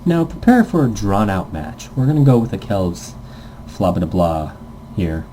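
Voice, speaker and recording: A man's voice: sits low, very animated, normal speed, mellow, a little hiss or hum. A man casually reading a visual novel game aloud, stumbling over lines and tossing in goofy asides.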